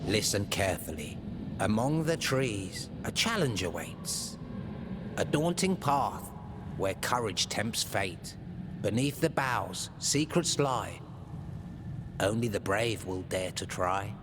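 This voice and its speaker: A man's voice: on the low side, expressive, takes it slowly, noisy background. An actor playing an eccentric male seer in a video game, speaking theatrically in whimsical, lilting riddles. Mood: cryptic, theatrical.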